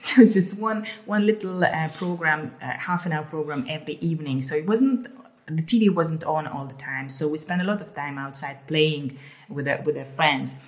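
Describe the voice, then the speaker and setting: A woman's voice: deep voice, moderate pace, low-quality recording. A middle-aged woman from Hungary, co-hosting a casual conversation and often repeating words as she thinks aloud. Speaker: co-host.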